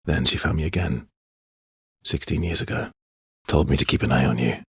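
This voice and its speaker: An actor playing a weary, guarded, sorrowful young man in a video game, speaking softly and haltingly with earnest feeling and a husky, breathy voice. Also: a bass voice, no room sound, clean studio sound, full character voice. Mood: sombre, confiding. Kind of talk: dramatic video game acting.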